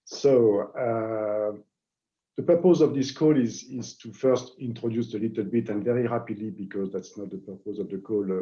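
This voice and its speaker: A man with a French accent giving a webinar presentation, pausing often with "uh" between words. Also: on the low side, dark tone. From France. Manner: halting, with frequent "uh" fillers. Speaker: guest presenter. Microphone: video call. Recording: dull lo-fi online call audio.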